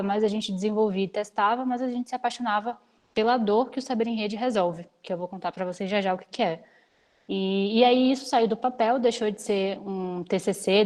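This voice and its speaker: Energetic young Brazilian woman lecturing online. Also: medium pitch. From Salvador, Bahia, Brazil. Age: 20s. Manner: fast, practical, energetic. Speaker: startup founder giving a guest talk. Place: video call.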